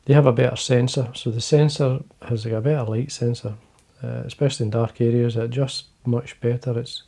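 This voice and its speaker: A man with a Scottish accent, talking quietly and casually to camera from his fly-tying desk, rambling with fillers and restarts. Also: medium pitch, fairly even intonation, a little fast, vocal fry, husky. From Scotland. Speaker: fly-fishing video host.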